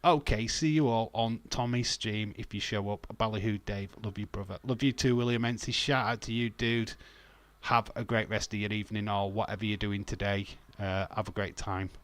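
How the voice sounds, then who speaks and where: A man's voice: fairly low pitch, fairly even intonation, moderate pace. A man with a thick Yorkshire accent chatting casually on a livestream, jokey and prone to swearing. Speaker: wrestling watch-along streamer.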